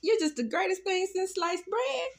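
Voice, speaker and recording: Woman mimicking a high-pitched gushing, fawning woman. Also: expressive, normal speed, creaky, exaggerated voice. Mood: gushing excitement.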